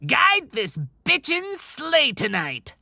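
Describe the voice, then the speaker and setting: A reckless, drunken Christmas elf for an audiobook, pitched high and swinging wildly. The voice is sharp and creaky, with theatrical outbursts and slow, muttered delivery. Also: wildly swooping pitch, no room sound, studio quality, heavily acted. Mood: drunken singing. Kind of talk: dramatized audiobook character acting.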